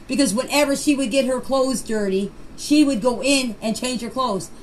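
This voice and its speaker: An older woman with a high-pitched voice shares personal memories. She talks in a plain, folksy way and circles back to repeat phrases. The amateur voice clip carries some background noise. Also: normal speed, rough recording. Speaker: grandmother recounting memories. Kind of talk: informal personal recollection.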